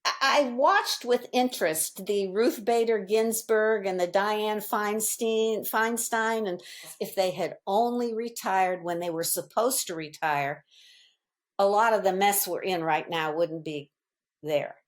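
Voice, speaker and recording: A woman's voice: mid-range voice, a little slow. A woman in her seventies from Virginia, a voter speaking firmly and plainly. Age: 70s. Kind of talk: interview clip.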